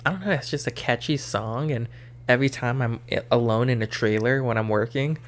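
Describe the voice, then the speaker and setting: A man's voice: medium pitch, fairly quick. A young man co-hosting a podcast, chatting in an easygoing, hesitant way with many fillers. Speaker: podcast co-host. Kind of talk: casual chat.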